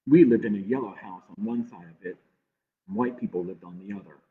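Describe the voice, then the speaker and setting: A man reading a picture book aloud to children with measured, expressive pauses. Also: on the low side. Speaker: library storytime reader. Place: livestream from a closed-library online program. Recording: muffled phone-like streaming audio.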